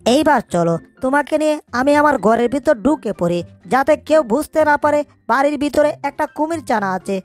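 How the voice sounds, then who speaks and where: A woman's voice: high-pitched, animated, fairly quick, faint music behind. A woman voicing a small, crafty, bossy cartoon mouse, scolding and narrating its own schemes. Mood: scolding, scheming. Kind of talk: cartoon dub in Bangla.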